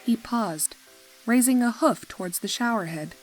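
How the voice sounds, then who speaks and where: A woman's voice: on the high side, lively intonation, normal speed, low-quality recording. Woman narrating a story over music.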